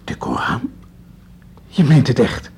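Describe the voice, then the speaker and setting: An actor playing a cautious, principled man and loyal friend in a radio drama, speaking earnestly and with measured hesitation. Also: mid-range voice, very animated, darkish tone, breathy edge, whispered, heavily acted. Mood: wary, reluctant.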